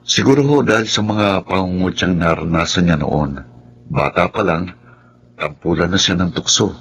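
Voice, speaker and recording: A man's voice: low voice, a bit bright, a little hiss or hum. A man playing a patient, understanding older brother in a Filipino radio drama, speaking earnestly and respectfully.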